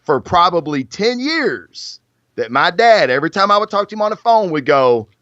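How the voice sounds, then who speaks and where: A man's voice: high voice, sing-song, normal speed, sharp tone, no echo. A man in his forties, a firefighter guest, telling stories in a rambling, casual way. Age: 40s. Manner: rambling storytelling with many fillers. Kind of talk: casual podcast chat. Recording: dull dry lo-fi audio.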